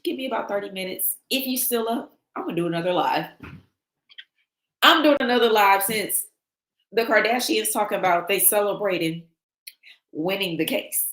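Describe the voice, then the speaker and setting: A woman with a slightly raspy, travel-worn voice hosts a casual livestream and chats with viewers. She talks in an animated way and reads their comments aloud. The sound is echoey, as if recorded in a bare room. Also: mid-range voice, moderate pace, pauses often. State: losing her voice from travel. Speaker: livestream host. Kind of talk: casual livestream sign-off chat.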